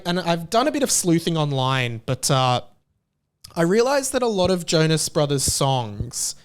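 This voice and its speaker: A young man with an Australian accent hosts his own podcast and talks through it casually, with jokey, playfully opinionated asides. His voice sits a little high for a man and sounds smooth and resonant. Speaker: podcast host.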